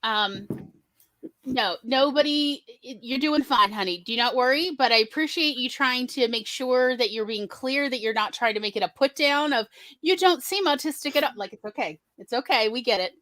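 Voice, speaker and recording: A woman's voice: high-pitched, animated, moderate pace, a bit bright, no echo. A woman in her forties with a Mississippi Southern accent, hosting a casual chat, chatty and reassuring, often calling people honey. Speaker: podcast host.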